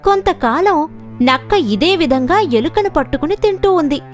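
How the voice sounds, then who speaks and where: A woman tells animal fables for children in a scripted storytelling style. Her delivery is lively, with faint music playing behind her. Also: extremely high pitch, moderate pace.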